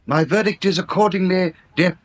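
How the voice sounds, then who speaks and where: An actor playing a formal, methodical English coroner in a radio drama, official, measured and precise. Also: male voice, high-pitched. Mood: official, conclusive.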